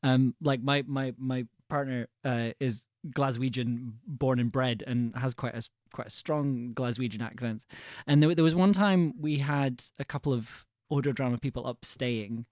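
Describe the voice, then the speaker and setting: A man's voice: mid-range voice, normal speed, a little mellow, no room sound, studio quality. Stammering, self-deprecating man on a call. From Scotland. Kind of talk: casual chat on a live stream.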